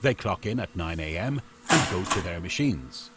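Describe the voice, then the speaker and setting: A man's voice: low voice, expressive, normal speed. A man narrating a scripted radio play as its storyteller. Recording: loud noise behind the voice.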